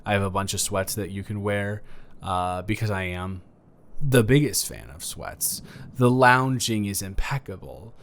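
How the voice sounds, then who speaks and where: Rambling, low-voiced young man next door, acted by a man. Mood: relaxed, rambling. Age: young adult. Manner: rambling, halting, self-correcting, awkwardly casual. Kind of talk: improvised romantic roleplay audio, casual chat.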